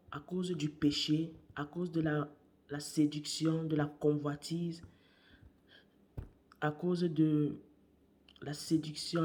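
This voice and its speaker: A woman preaching a morning sermon while she has a cold. Her voice is worn, and she speaks deliberately, repeating key phrases and sometimes dropping to a hush. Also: low-pitched. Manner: slow and deliberate, repeats phrases, hushed at times.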